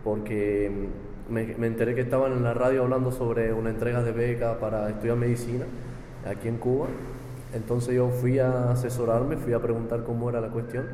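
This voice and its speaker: A young man from Argentina whose speech now leans strongly Cuban talks in a relaxed, chatty way. His voice is mellow and dark. The room rings with a long echo under a faint ambient hum. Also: mid-range voice, a little fast. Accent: Argentine Spanish with a strong Cuban influence. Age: young adult. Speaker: interviewee, university student. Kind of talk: casual interview. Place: high-rise apartment overlooking the sea.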